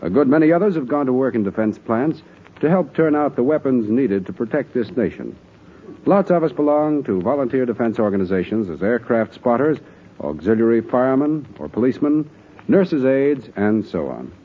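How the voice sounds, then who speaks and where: Animated American 1940s radio announcer, male. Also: medium pitch, moderate pace. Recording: thin, narrow-band 1940s radio recording.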